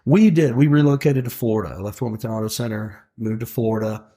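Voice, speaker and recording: A man's voice: medium pitch, fast talker, mellow. A man in his forties, a guest in a casual interview, talking in a rambling way with many fillers and restarts. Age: 40s. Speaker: guest, food truck owner.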